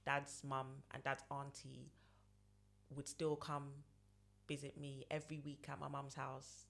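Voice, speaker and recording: A woman's voice: extremely low pitch, level tone, a little slow, creaky, a bit bright. A woman in her late thirties talks as a podcast guest. She wanders through her answers, circling back and repeating phrases with plenty of fillers. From London, England. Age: 30s. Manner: rambling, repeats herself, many fillers. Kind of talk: casual interview answers.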